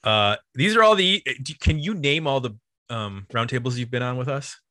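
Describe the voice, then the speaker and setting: Male podcast host, American, bright forceful voice, dry close sound, casual delivery. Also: medium pitch, lively intonation, normal speed, no room sound. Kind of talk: casual podcast chat and announcements.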